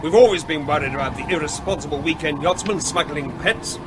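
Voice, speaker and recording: Casting: male actor dogged animal-disease official, high lively voice, urgent, radio play with clear background noise. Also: brisk, lightly acted. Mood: grave, indignant. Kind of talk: British radio drama acting.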